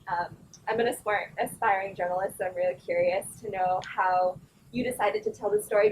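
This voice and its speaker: A woman's voice: mid-range voice, far from the mic, a little hiss or hum, rough recording. A woman from Malaysia, an aspiring journalist, asking an earnest, slightly nervous question from the audience. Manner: earnest, a bit nervous, with fillers.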